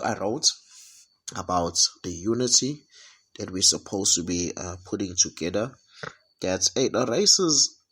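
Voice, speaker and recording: South african guy, deep husky voice, stops and restarts a lot, talking through the week's news. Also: a bit bright. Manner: halting, many repeated words and fillers. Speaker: podcast host. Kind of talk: reflective weekly review monologue.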